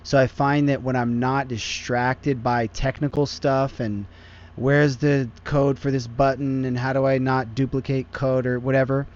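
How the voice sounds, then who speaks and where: A man hosts a casual podcast and talks loosely, full of "like" and teasing asides. His pitch sits in an ordinary middle range. The audio comes through dull and lo-fi. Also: little pitch movement, measured pace, darkish tone. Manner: loose, joking, lots of "like". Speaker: podcast host.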